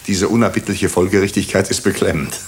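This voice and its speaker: An actor playing a wry, brooding hospital doctor who tells a murder mystery in a German radio drama, in measured, introspective, clipped phrases. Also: male voice, deep voice, steady tone, a little hiss or hum. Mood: wry, conversational. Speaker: narrator and lead character. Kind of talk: German radio drama, first-person crime narration.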